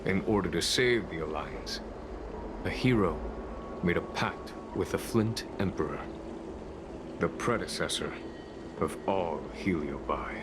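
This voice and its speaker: An actor playing a grave, composed general who speaks in a formal, measured, solemn way in a scripted game. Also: male voice, low voice, expressive, noisy background. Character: grave, composed male general narrating old legends. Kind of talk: scripted dramatic game monologue.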